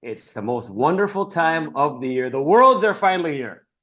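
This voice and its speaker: A man hosting a sports podcast with energetic, hype-announcer flair. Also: on the high side, moderate pace, lo-fi sound. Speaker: podcast host. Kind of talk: upbeat show hosting.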